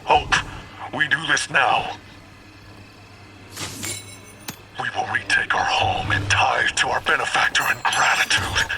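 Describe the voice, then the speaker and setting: An actor playing a fanatical warlord in a game cutscene, declaiming grandly and portentously under loud music. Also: male voice, on the high side, gravelly. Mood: menacing. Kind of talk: dramatic video game cutscene acting.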